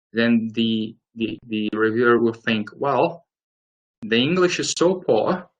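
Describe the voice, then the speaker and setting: A man's voice: medium pitch, a bit bright, low-quality recording. A man in his late thirties from Brazil with a Brazilian Portuguese accent, presenting slides in an explanatory way with hesitant phrasing. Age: late 30s. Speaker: webinar presenter. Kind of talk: instructional slide presentation, semi-scripted talk.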